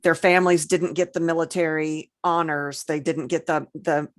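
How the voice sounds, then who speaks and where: A woman's voice: sits low, level tone, moderate pace, no echo, studio quality. A woman co-hosting a podcast, chatting casually and retelling examples with emphasis. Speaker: podcast co-host. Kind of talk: casual chat, recounting film examples.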